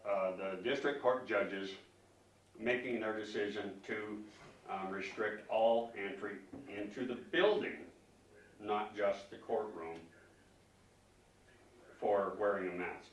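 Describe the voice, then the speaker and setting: A man speaking as a concerned citizen at a public meeting, arguing emphatically and haltingly, often repeating words as he questions officials. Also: fairly low pitch, fairly even intonation, highs cut off, a little hiss or hum. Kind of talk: public comment, argumentative questioning. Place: public meeting room, indoors. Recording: echoey room, distant microphone.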